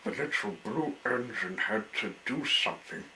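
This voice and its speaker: A man reading a children's story aloud as a storyteller, in deliberate, broken phrases. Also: medium pitch, expressive, bright, forceful tone, a little hiss or hum, low-quality recording.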